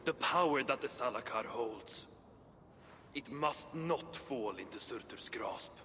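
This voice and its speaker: A man's voice: medium pitch, animated, unhurried, bright, forceful tone. An actor playing a sceptical, dry-humoured dwarf craftsman with a terse, dismissive way of talking in a video game. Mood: grave, gruff. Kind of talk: video game cutscene acting. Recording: long reverb, faint background noise.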